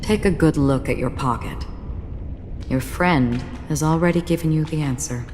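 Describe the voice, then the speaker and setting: Woman acting a low-voiced, solemn, stoic swordswoman. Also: natural voice. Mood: gentle, philosophical. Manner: measured, grave, formal. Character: solemn, stoic female swordswoman, cryptic and philosophical. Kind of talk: English video game cutscene acting. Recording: long reverb, music behind the voice.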